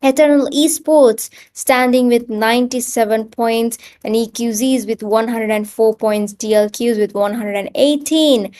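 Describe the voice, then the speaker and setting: A woman's voice: on the high side, a little slow, few pauses. A woman from Sri Lanka with a Sri Lankan English accent, casting esports and reading stats fluently. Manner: fluent, reads stats in a steady run. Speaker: esports caster. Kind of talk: esports commentary.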